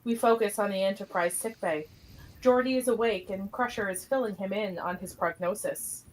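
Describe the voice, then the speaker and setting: A woman's voice: medium pitch, normal speed, a little hiss or hum, low-quality recording. A woman reading scripted stage directions as narrator of an amateur radio play.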